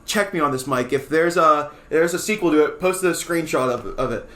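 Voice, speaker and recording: Podcast dude rambling on, lots of "like", kinda echoey room. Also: male voice, on the high side, brisk, bright, forceful tone. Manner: rambling, many restarts and "like"s. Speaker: podcast co-host. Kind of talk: casual chat.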